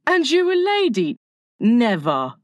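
A man's voice: high voice, a little slow, no echo, clean studio sound, voice pushed up. A man voicing a brave, wounded young boy in a storybook reading, weary but gallant. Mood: urgent, gallant.

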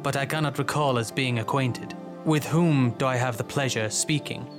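A calm foreign officer, voiced by a male narrator, formal and quietly amused. The voice sits at a medium pitch, above the narration, with clear music behind it in a fantasy audiobook. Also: takes it slowly. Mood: polite, puzzled. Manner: formal, polite, flat. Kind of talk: acted audiobook dialogue.

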